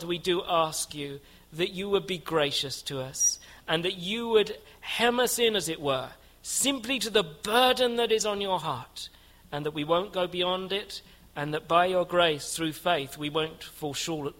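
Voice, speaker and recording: A man preaching a sermon in a church, earnest and measured, rising to fervent prayer. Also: high-pitched, fairly bright tone, rough recording. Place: church building.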